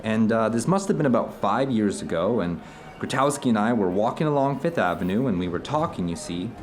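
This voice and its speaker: A man's voice: lowish voice, expressive, fairly quick, faint music behind, close to an everyday voice. A man playing an earnest theater director telling stories to an old friend in a conversational audio drama. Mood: reflective, earnest. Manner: storytelling, earnest, discursive. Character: animated theater director recounting his travels to an old friend.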